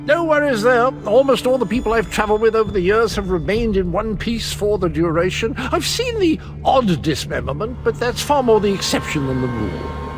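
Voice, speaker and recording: An actor playing a quirky, flippant would-be hero in a video game, chatty and a little pompous. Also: male voice, sits high, very animated, a little fast. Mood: breezy. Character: breezy, quirky male self-styled hero adventurer. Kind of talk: comic video game acting.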